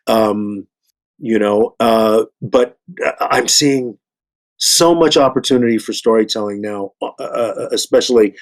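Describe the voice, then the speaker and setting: Older man, halting and stammering. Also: fairly low pitch. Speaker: actor guest. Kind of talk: casual panel discussion.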